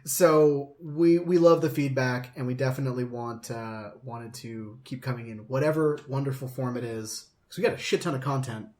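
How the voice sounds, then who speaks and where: A man's voice: medium pitch, fairly even intonation, normal speed, hardly any pauses, a little mellow. A man hosting a podcast in a casual, joking chat, swearing freely and poking fun at himself. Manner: profane, joking, self-deprecating. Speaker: podcast host. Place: kitchen.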